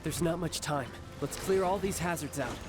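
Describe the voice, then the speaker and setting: An actor playing a playful, protective big brother in a video game, smooth-talking, teasing and reassuring. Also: male voice, a little high, moderate pace, natural voice. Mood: urgent. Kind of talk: scripted video game voice acting. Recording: game music behind the voice.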